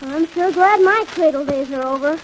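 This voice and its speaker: A woman playing a teasing, wisecracking young boy, a bratty kid brother in a 1940s radio comedy, needling and deadpan on an old hissy recording. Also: sits high, moderate pace, close to an everyday voice, low-quality recording. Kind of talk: 1940s radio sitcom acting.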